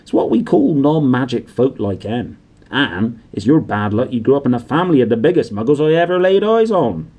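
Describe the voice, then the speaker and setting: Guy doing a big gruff giant groundskeeper from a storybook, folksy and blunt, loud, husky, jumps up high. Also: big pitch swings, fairly quick. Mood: scornful. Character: huge, gruff, warm-hearted, hot-tempered male half-giant groundskeeper.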